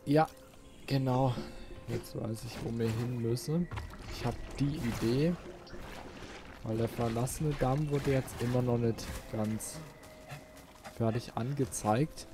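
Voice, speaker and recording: Slow-paced man thinking aloud over a game. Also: medium pitch, noisy background. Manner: informal, musing to himself and viewers. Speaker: let's play host. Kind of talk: casual gameplay commentary, thinking aloud.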